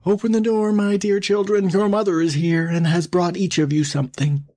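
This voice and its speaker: A man's voice: high-pitched, little pitch movement, normal speed, dark tone, voice pushed up, close to an everyday voice. A man voicing a sly, menacing wolf from a storybook, coaxing and demanding as he calls out. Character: sly, menacing hungry male wolf posing as mother.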